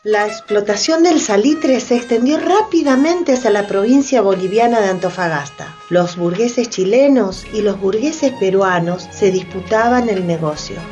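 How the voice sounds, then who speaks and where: A woman performing as the narrator of a satirical historical radio play, with measured, scripted storytelling. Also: medium pitch, a little mellow, faint music behind, close to an everyday voice. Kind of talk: scripted dramatized narration in Spanish.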